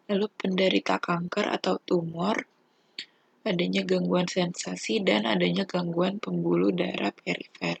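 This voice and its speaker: A young adult woman, a student giving an instructional presentation in a halting, deliberate, step-by-step way. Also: on the low side, gravelly, slightly rough, no echo. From Indonesia.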